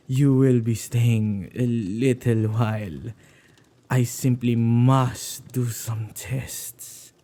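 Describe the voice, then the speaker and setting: Man playing a slow, dark-voiced possessive witch. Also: medium pitch. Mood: teasing, menacing. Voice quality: dark, gravelly, husky voice, often dropping to whispers. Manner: teasing, unhurried, with drawn-out words and hesitant repeats. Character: possessive male witch who keeps ghosts, playfully menacing captor. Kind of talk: ASMR roleplay acting.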